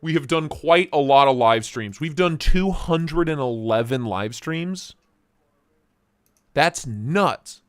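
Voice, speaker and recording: A man's voice: on the high side, animated, takes it slowly, clean tone, no echo, studio quality. A man hosting a casual live stream, joking around and greeting viewers by name, his voice starting to go. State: losing his voice.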